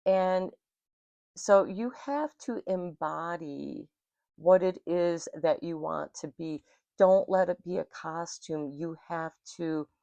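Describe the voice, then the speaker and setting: An American woman giving an intuitive tarot reading in a calm spiritual manner, her throat rough and scratchy from smoke. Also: lowish voice, dry recording, highs cut off. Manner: slow, soothing, spiritual. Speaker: tarot reader.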